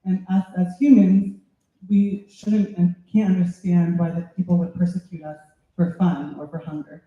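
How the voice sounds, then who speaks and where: A man interpreting a speech at a public meeting in short, measured and halting passages. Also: high voice, flat delivery. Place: indoor public meeting hall. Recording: echoey room, dull phone-like sound.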